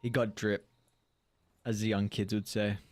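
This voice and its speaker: Playful low-voiced man in his twenties. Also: little pitch movement, unhurried, dry recording. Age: 20s. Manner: playful, slangy, laughs easily. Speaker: esports caster. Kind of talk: casual esports commentary.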